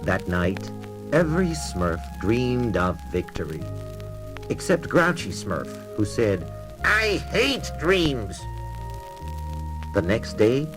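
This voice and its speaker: A man narrates a children's read-along record over music. His voice is fairly low and unhurried, with lively rises and falls in pitch. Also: poor audio. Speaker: storybook narrator.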